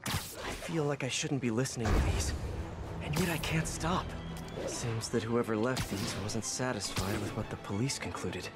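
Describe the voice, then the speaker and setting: An actor playing an earnest young superhero in a video game, thinking aloud in a wry, worried way. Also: male voice, mid-range voice, normal speed, close to an everyday voice. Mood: musing. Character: young male web-slinging hero, earnest. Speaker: game protagonist. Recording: game audio with music.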